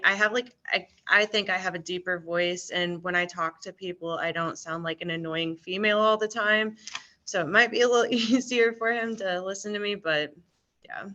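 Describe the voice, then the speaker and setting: A woman's voice: medium pitch, pushed and bright, highs cut off. A woman care worker talking hesitantly about a resident in a case discussion over a video call. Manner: hesitant, many fillers. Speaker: care staff member.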